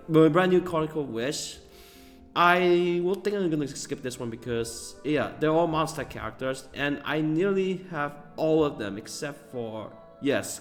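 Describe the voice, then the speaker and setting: Guy streaming game pulls, rambly, lots of uhs and little laughs, faint music behind. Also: mid-range voice. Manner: rambling, full of fillers and chuckles. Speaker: gaming streamer. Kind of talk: casual gameplay commentary.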